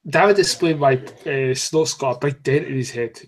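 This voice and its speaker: A man's voice: medium pitch, moderate pace. A man co-hosting a podcast, chatting casually in a joking, rambling way. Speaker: podcast co-host. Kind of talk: casual chat.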